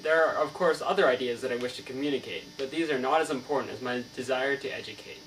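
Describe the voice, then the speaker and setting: A man's voice: medium pitch, quick pace, fairly bright tone, natural voice. A man playing a learned, earnest novelist in a scripted mock interview, speaking formally as if reciting and stumbling over words now and then. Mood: earnest, explanatory. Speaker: student playing an interviewed author. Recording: distant mic, clear mains hum.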